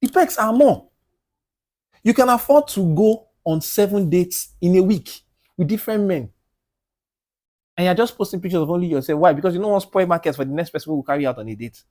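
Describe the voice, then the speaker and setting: Emphatic man in podcast talk. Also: a little fast. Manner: animated and emphatic, repeats "do you understand?"; drops to a low, quiet voice when making a point. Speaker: podcast co-host. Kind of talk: casual group discussion. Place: podcast studio.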